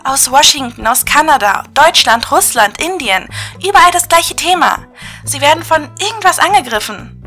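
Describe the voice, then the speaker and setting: A woman plays a level-headed radio co-host in a radio play, passing on incoming reports in a matter-of-fact way. Her voice sits high and sounds bright, with faint music behind. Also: animated, normal speed, heavily acted. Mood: concerned. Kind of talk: amateur radio play acting.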